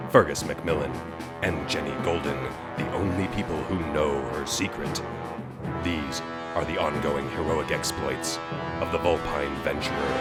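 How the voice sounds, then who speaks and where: A man acts as a portentous old-time radio serial announcer. His voice is low and deep, with formal, theatrical delivery. Loud music runs behind him. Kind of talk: 1940s radio serial narration.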